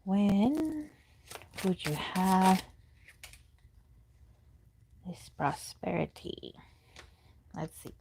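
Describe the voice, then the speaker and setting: A woman's voice: medium pitch, big pitch swings, drawn-out words, gravelly, pauses often, a little mellow, slight background noise. A woman whose first language is Spanish, doing a chatty live tarot reading and answering questions from chat as she thinks aloud. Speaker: tarot reader.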